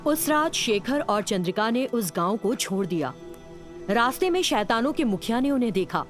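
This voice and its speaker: A woman's voice: a little high, big pitch swings, normal speed, faint music behind. A woman narrating a scripted children's cartoon story.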